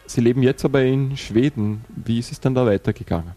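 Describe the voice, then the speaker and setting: A man's voice: mid-range voice, fairly quick, mellow, soft background music. A man interviewing a guest for radio, asking polite, formal questions.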